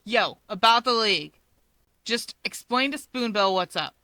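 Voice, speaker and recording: A woman's voice: fairly high pitch, expressive, unhurried, sharp tone, no room sound. A woman voicing a blunt, irritable teenage boy who complains, in a story. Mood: demanding.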